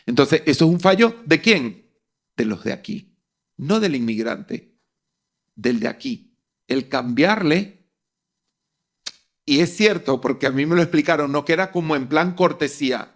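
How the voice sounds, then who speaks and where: Emphatic middle-aged Venezuelan man. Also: on the high side. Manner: emphatic, repeats phrases for stress. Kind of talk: conversational opinion-giving.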